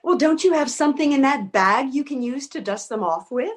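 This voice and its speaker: A woman's voice: sits high, animated, a little fast. A woman playing a bossy, practical older woman in a comic readers theater piece, impatient and scolding but caring underneath. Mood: exasperated, scolding. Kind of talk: comedic readers theater acting.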